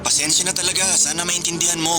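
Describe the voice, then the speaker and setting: A man's voice: fairly high pitch, level tone, fast, lightly acted. A man playing an earnest, hurt and suspicious young boyfriend in a radio drama, speaking emotionally. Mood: apologetic, downcast. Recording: music bed under the dialogue. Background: background music.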